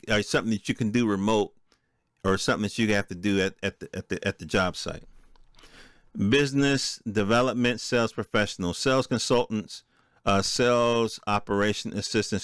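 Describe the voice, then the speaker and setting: A middle-aged American man with a low, resonant voice, recorded close and dry. He talks casually as a solo podcast host, reading through notes with offhand asides and turning to his listeners with rhetorical questions. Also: a bit bright, clear, resonant tone, no echo. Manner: conversational, checks in with listeners using rhetorical questions. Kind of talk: informal solo commentary, reading from a list with asides.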